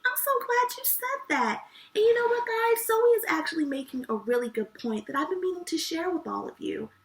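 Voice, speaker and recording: Female children's host: high, creaky-edged voice, effusive and encouraging, speaking directly to kids. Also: a bit bright. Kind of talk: chatty address to children. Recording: echoey room, distant microphone.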